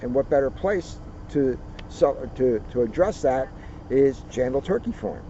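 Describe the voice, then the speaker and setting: A man reading a children's history book aloud outdoors, with deliberate, dramatic phrasing and casual asides. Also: mid-range voice, expressive, dark tone. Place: outdoors at a turkey farm. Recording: muffled outdoor recording, faint vehicle noise.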